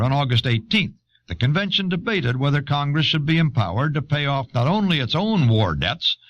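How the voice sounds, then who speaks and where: A man reading scripted historical narration in a measured, authoritative manner. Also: medium pitch, fairly bright tone. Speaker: documentary narrator. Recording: dull old audio cassette.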